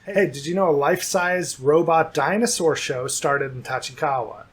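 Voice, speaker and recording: Husky guy doing deadpan comedy news bits, kinda high voice. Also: moderate pace. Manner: dry joking delivery, playful teasing. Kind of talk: scripted comedy news banter.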